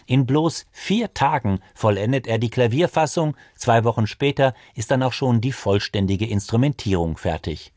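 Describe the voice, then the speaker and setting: A man's voice: mid-range voice, lively intonation, normal speed, few pauses, a little mellow, no echo, studio quality. A man presenting a music programme on the radio, reading scripted narration.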